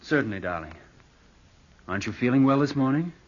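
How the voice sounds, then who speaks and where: A man's voice: mid-range voice, lively intonation, a little fast, natural voice. An actor playing a scheming, bitter nephew who broods as he narrates in an old-time radio drama. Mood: falsely affectionate. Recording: dull vintage radio recording.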